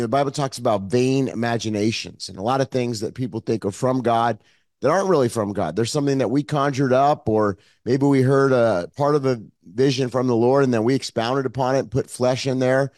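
A man with a hoarse, froggy voice, preaching an online Sunday sermon as pastor in an earnest, conversational way with frequent fillers. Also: medium pitch, darkish tone, no room sound, studio quality.

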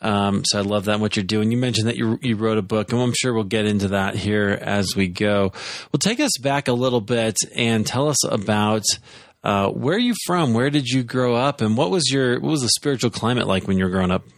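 A man's voice: lowish voice, fairly quick, no echo. Man hosting with a dry close sound. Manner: warm affirmations and short reactions. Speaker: podcast host. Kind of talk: conversational interview.

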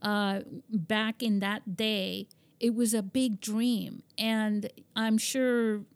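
A woman's voice: medium pitch, fairly even intonation, drawn-out words. A woman from Spain hosting an interview, rambling with many fillers and restarts. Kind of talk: conversational interview.

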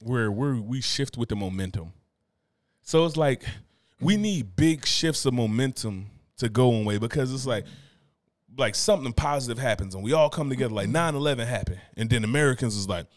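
A man's voice: medium pitch, brisk, no echo, clean studio sound. A man co-hosting a casual podcast, rambling loosely and acting out the voices he quotes. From Newark, New Jersey. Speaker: podcast co-host.

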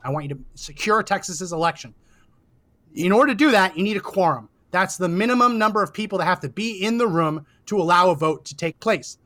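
A man co-hosting a political commentary show, animated and laughing often, saying he is losing his voice. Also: high-pitched. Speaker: co-host.

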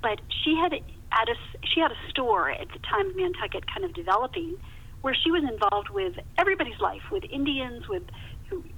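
High-voiced, animated woman with a creaky edge. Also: brisk. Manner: animated, emphatic, repeats words for emphasis. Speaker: novelist, podcast guest. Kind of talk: conversational interview storytelling. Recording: muffled phone line with hiss.

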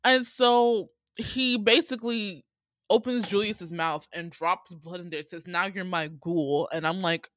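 An American woman co-hosting a casual movie recap, telling the story in an animated, slangy, profane way and laughing often. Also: mid-range voice, unhurried, dry recording. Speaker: podcast co-host recapping a movie. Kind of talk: casual comedic storytelling chat.

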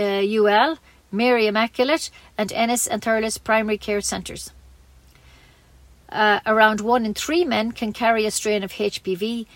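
A woman's voice: a little high, no room sound. An Irish woman reading scripted local news as a community radio newsreader.

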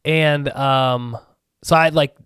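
A man's voice: medium pitch, slow pace, smooth, no room sound. Casual profane young man, dry mic. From Ohio. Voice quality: a bit nasal. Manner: profane, animated storyteller, calls everyone dude. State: recovering from a sore throat. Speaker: podcast co-host. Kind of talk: casual chat.

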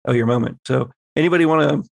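A man's voice: medium pitch, rapid-fire, gravelly, no room sound, studio quality. A man acting as game master, narrating a horror tabletop roleplaying game in a conversational, improvised way. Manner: conversational, improvising scene descriptions.

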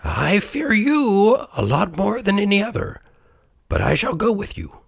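A man's voice: high voice, very animated, measured pace, creaky, a bit bright, no room sound, clean studio sound, pitched above the performer's own voice, heavily acted. A man voicing an emotional old miser in a storybook, with theatrical delivery. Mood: fearful. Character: wicked old miser, frightened, pleading, repentant.

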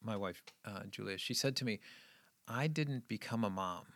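A man's voice: fairly low pitch, normal speed, fairly bright tone, dry recording. A middle-aged man talking casually and earnestly about his schooling and family, often trailing off. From New England, USA. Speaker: guest. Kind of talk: casual conversation.

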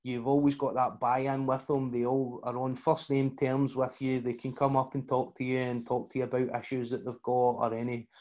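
A man's voice: medium pitch, little pitch movement, normal speed, few pauses, darkish tone, muffled recording. A man hosting a podcast, praising his guest and asking about his background. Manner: encouraging, short affirmations. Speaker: podcast host. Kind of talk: casual podcast interview.

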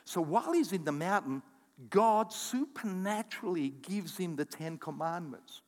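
Male pastor, high lively voice, preaching sermon, long hall reverb. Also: normal speed. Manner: animated preaching with audience call-outs and asides.

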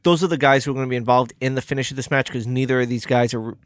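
A man in his thirties co-hosting a podcast in casual chat, with short agreeing replies and animated opinions. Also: medium pitch, very fast, no room sound. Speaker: podcast co-host.

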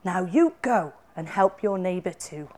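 A woman voicing Jesus as a calm, firm, kindly storyteller who teaches gently in a children's Bible storybook reading. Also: lowish voice, unhurried, slight background noise. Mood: warm, encouraging.

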